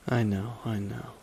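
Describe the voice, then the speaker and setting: A man playing a wry, reflective supervillain in an ASMR audio drama, speaking slowly and softly with wistful pauses. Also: lowish voice, creaky, a little hiss or hum, exaggerated voice. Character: reflective male supervillain, wry, quietly softened toward his nemesis. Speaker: voice actor in audio roleplay. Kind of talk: ASMR dramatic roleplay monologue. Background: rain.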